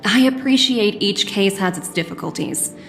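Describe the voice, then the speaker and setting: A poised young businesswoman in a video game, cultured and courteous. She speaks in measured, articulate sentences at a medium pitch, with music clearly behind her. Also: expressive, fairly bright tone. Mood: calm, firm.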